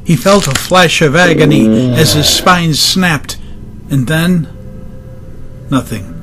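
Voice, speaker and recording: A man's voice: on the high side, lively intonation, takes it slowly, noisy background. A man narrating a dramatized audiobook in a dramatic scripted style, with music underneath.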